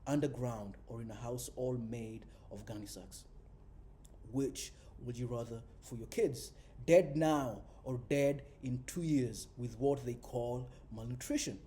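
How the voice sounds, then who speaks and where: A man's voice: mid-range voice, measured pace, mellow, natural voice. A man voicing a poor, desperate migrant farm worker in a storybook reading, folksy and urgent. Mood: bitter, desperate. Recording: faint background noise.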